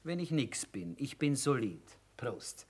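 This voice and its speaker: An actor playing a cocky, needling army cook in a radio drama, argumentative and colloquial. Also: male voice, mid-range voice, big pitch swings, unhurried, slightly rough. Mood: sly. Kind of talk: German radio drama acting.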